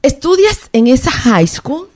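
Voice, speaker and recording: A woman with a Puerto Rican accent hosting a podcast interview, lively and quick to react. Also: high voice. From Puerto Rico. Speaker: podcast host. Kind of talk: conversational interview.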